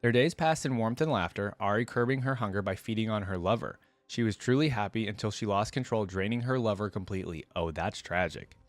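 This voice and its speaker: A man hosting a reaction video, reading story text aloud and commenting in an informal, thinking-out-loud way. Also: on the low side, brisk, few pauses, no room sound.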